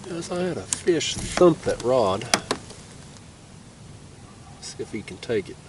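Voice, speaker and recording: A laid-back young man with a stuffy, nasal voice, talking easily in a relaxed drawl. He sits a little back from the camera mic outdoors at night, with a faint hiss of river ambience behind him. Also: medium pitch, slow, recorded from a distance. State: nasal from a cold. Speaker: fishing vlogger. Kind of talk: casual outdoor vlog narration.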